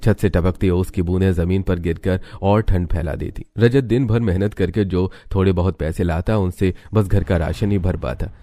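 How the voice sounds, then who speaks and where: A man's voice: sits low, normal speed, hardly any pauses, mellow, no echo. A man reading scripted narration as the narrator of a cartoon story.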